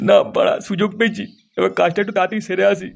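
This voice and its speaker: A man's voice: sits high, little pitch movement, very fast, vocal fry, background noise. A man voicing a jealous, foul-mouthed husband who bosses his buddies around, brash and threatening, in a crude comedy cartoon. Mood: irritated, evasive.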